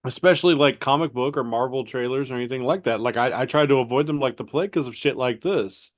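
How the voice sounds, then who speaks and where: Stuffy-nosed man chatting on a podcast. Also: medium pitch. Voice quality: nasal, stuffy. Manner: halting, repeats words, storytelling. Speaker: podcast co-host. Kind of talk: casual podcast chat.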